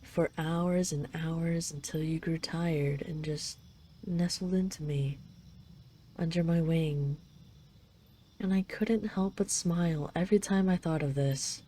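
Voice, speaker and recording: A woman's voice: low voice, steady tone, slow, dark tone, a little hiss or hum. A woman voicing a possessive yet tender dragon queen in an intimate roleplay audio, teasing and coaxing the listener. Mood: wistful, teasing.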